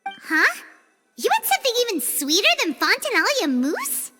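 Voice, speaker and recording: A woman voicing a tiny, chirpy, cheeky childlike fairy companion in a video game, with a squeaky voice. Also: wildly swooping pitch, normal speed, full character voice. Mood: curious, doubtful. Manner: refers to self in third person, childlike exclamations. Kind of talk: scripted video game dialogue. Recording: music behind the voice.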